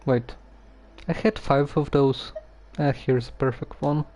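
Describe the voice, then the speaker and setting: A man giving casual live gameplay commentary, haltingly muttering to himself as he plays. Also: mid-range voice, steady tone, a little mellow. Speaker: game streamer.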